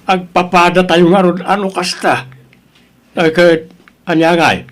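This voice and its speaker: Nangato ti timekna nga ama. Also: male voice, high-pitched, level tone, a little slow, fairly bright tone. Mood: grudging, resigned. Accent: Ilocano. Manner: scolding, blunt, questioning. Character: stern, exasperated father grilling his daughter's boyfriend. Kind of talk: Ilocano audio drama acting.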